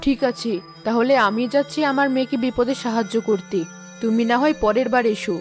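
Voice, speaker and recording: A woman playing a gentle, protective mother spirit in a cartoon, soothing and maternal. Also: a little high, a little fast, soft background music, natural voice. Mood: tender. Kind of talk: Bengali cartoon voice acting.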